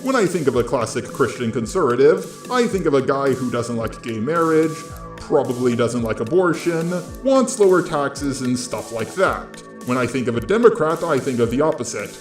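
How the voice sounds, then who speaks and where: A man reads scripted opinion commentary in a nasally voice with a middling pitch. The sound is roomy, picked up on a gaming headset, with music playing underneath. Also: animated, moderate pace. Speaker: video commentator.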